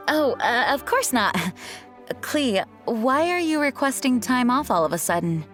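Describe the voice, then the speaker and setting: A woman's voice: high-pitched, very animated, unhurried, a bit bright, faint music behind, full character voice. A woman voicing a serious, dutiful female knight commander in a video game, formal and authoritative. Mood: concerned.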